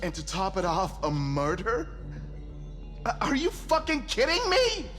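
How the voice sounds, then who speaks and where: An actor playing an arrogant, irritable billionaire mission backer in a video game, sarcastic, profane and demanding. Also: male voice, high-pitched, wildly swooping pitch, a little slow, a bit bright, heavily acted. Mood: outraged. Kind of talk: cinematic video game acting. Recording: reverberant hall, music underneath.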